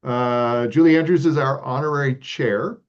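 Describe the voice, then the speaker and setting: A man from Texas, a guest leading an arts organization, answering interview questions in a relaxed, earnest way with many ums and uhs. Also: medium pitch, moderate pace. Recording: slightly dull lo-fi audio.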